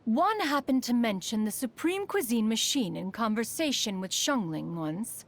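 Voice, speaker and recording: A haughty, melodic ancient immortal. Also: female voice, a little high, fairly bright tone, heavily acted. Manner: formal, haughty, calls herself "one". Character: proud, refined, ancient female bird immortal, aloof yet fond. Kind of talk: scripted video game character voice lines. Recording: music behind the voice.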